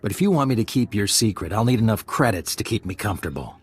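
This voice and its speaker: An oily space swindler in a video game. The voice is lowish and raspy, swinging up and down as it wheedles with fake chumminess and insinuation. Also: male voice, expressive, fast. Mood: menacing, sly. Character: sleazy, wheedling male space-smuggler swindler, falsely chummy.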